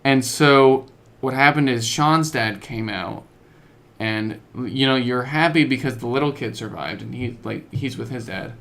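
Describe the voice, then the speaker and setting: Man, podcast co-host, creaky voice, mid-pitched, casual slangy storytelling. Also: a little slow, fairly bright tone. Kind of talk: casual chat about video games.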